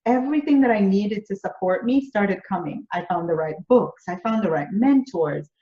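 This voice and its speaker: A woman's voice: medium pitch, lively intonation, moderate pace, mellow. A woman from Jamaica with a Jamaican accent, answering interview questions earnestly and building her points through repeated phrases. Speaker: business coach, interview guest. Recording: roomy, dull lo-fi audio.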